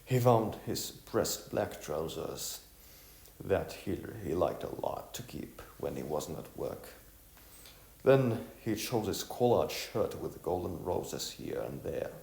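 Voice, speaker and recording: A man reading scripted storybook narration in a halting way, stumbling over words and repeating them. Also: sits low, very animated, gravelly, a little mellow. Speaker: narrator of an audiovisual novel. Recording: echoey room, music underneath.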